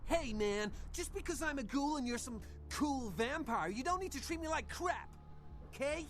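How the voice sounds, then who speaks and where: An actor voicing an excitable, whiny young male vampire's servant in a video game, gushing and stammering in slangy speech. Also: very high-pitched, normal speed. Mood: agitated, offended. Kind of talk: video game character dialogue. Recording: game music behind the voice.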